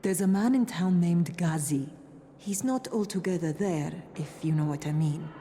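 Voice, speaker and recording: A woman playing a worried, discreet medic in a game cutscene, speaking in a hushed, measured, confiding way. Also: fairly low pitch, lightly acted. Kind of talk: dramatic game cutscene acting. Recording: faint background noise.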